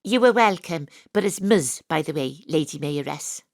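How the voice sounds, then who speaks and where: A woman's voice: deep voice, lively intonation, moderate pace, no echo, clean studio sound. An actress with a Welsh accent playing a wry, sporty amateur detective who narrates her own story with self-mocking humour. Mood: lively. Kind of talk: first-person radio drama narration. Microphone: home studio mic.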